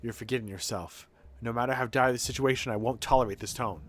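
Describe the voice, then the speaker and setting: A man's voice: mid-range voice, fairly even intonation, a bit bright, natural voice. Man voicing a proud, solemn young heir. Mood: offended. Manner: formal, measured, solemn. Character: proud, grave young heir defending harsh plague measures. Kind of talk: dramatic reading of game dialogue. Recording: game music behind the voice.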